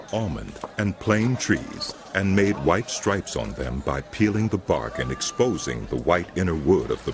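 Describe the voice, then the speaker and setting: A man's voice: very deep voice, noisy background. A man giving scripted narration for a dramatized audio Bible.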